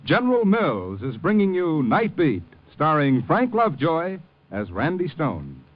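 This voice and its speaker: A man's voice: a little high, huge pitch swings, a little slow. Dramatically swooping male announcer over music. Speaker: radio announcer. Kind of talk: grand scripted 1950s radio show announcement. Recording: muffled 1950s radio recording over music.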